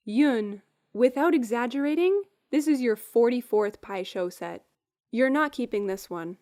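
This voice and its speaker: A woman's voice: a little high, lively intonation, measured pace, darkish tone. A woman acting a practical, loyal, dry-witted young servant in a fan-made visual novel. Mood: dry, exasperated.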